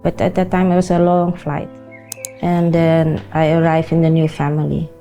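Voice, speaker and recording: A woman in her forties gives a personal account in a low-set, soft-edged voice. Her English has a Dutch accent and comes haltingly, with uneven grammar. Faint music plays underneath. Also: little pitch movement. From Netherlands. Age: 40s. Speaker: interviewee, non-profit founder.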